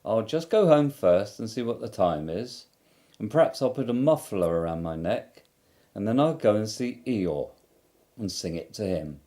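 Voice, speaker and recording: A man voicing a cheerful, muddled and simple young bear in a storybook reading, slow and sing-song with humming. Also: on the low side, animated, warm tone, close to an everyday voice.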